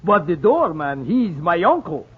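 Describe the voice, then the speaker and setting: An actor playing a courteous Russian restaurant owner with halting, formal English and a Russian accent, in 1940s radio style. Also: male voice, sits high, sing-song, breathy edge, heavily acted. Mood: obliging. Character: slow, courteous Russian immigrant restaurant owner, muddled with figures. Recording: muffled, thin 1940s radio broadcast.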